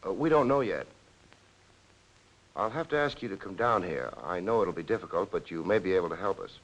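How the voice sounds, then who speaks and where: An actor playing a businesslike police lieutenant in a 1940s crime film, measured, polite and probing. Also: male voice, low-pitched, expressive, highs cut off. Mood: grave, polite. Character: steady, businesslike police lieutenant questioning witnesses. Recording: hissy old 1940s film soundtrack.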